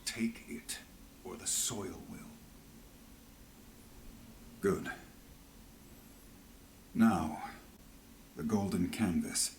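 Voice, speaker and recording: An actor playing a weary, disillusioned rebel veteran in a fantasy video game, speaking in a grave, measured way. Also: male voice, deep voice, a little hiss or hum, heavily acted. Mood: bitter, somber. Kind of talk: dramatic fantasy game voice acting.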